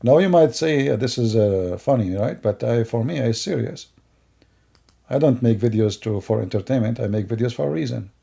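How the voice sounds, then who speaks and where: Gravelly, mocking middle-aged man. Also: mid-range voice, animated, moderate pace, dark tone. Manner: mocking, rambling, with broken grammar. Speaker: commentator. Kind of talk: sarcastic commentary over video clips.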